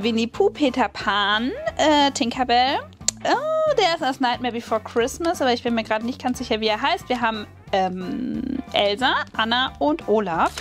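A woman with a German accent hosting a craft channel, chatting excitedly with many fillers while she unboxes a haul at a table. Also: a little high. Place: at a wooden table, hands on camera.

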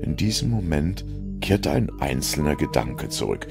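A man narrating a science fiction audio story in a brooding, deliberate way. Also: extremely low pitch, sing-song, gravelly. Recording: music under the voice. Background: music.

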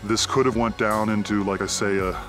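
A man with a Canadian accent narrating outdoors in a forest as he explores, pausing often with "uh" and recorded on a handheld camera mic. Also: fairly low pitch, flat delivery, music in the background. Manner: thoughtful, pauses often with "uh". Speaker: abandoned mine explorer. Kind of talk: walking narration while exploring.

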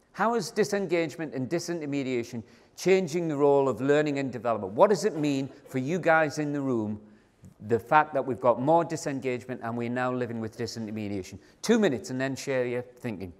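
Older man giving a hall keynote. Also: mid-range voice. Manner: conversational, emphatic, addresses the audience directly. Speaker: keynote speaker. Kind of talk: conference keynote talk. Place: large conference hall with seated audience. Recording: long hall reverb.

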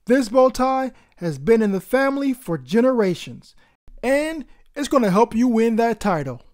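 An encouraging storybook father, as played by a woman, proud and reassuring. He speaks emphatically, with lively swings of pitch. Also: moderate pace.

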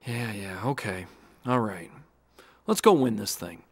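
A man playing a cocky but good-hearted young race car in an animated game, with an American accent. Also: on the low side, unhurried, noisy background. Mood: reluctant. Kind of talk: animated game cutscene acting.

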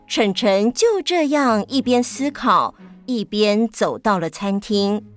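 A woman narrates a children's story in a mid-range voice. She works as the storyteller, with soft music underneath. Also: medium pitch, expressive, takes it slowly.

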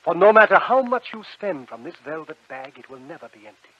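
An actor playing a silky, devilish stranger in a radio drama, coaxing with theatrical, mock-courteous charm. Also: male voice, high-pitched, lively intonation, brisk. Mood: tempting. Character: sly, silky devilish stranger who buys shadows. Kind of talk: old-time radio drama acting. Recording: dull vintage radio recording with music.

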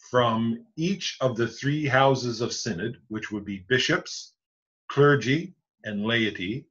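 A man's voice: mid-range voice, fairly bright tone. A man in his forties, an Anglican rector with a Newfoundland accent, explaining church decisions step by step over a video call from his study. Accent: Newfoundland English. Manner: measured, explains step by step with many restarts. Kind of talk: explanatory interview answers. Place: home study with bookshelves. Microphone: video call webcam. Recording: muffled lo-fi video call audio.

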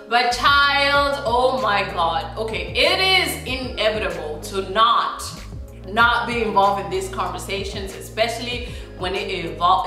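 A young woman vlogs to camera in a very echoey room, with music clearly playing behind her. She talks in a chatty, animated way, swinging between loud emphasis and soft asides. Her voice sits mid-pitch for a woman. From South Sudan. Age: young adult. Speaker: vlogger. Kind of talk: casual chat to camera. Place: small indoor room with a plain backdrop.

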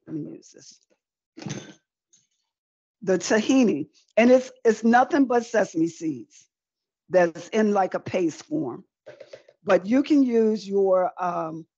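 A woman's voice: mid-range voice, darkish tone, dry recording. Middle-aged woman demonstrating, dull call audio. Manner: chatty, repeats phrases for emphasis. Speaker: cooking demonstrator. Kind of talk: live online cooking demonstration, conversational teaching. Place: indoor room with folding screen, demo table.

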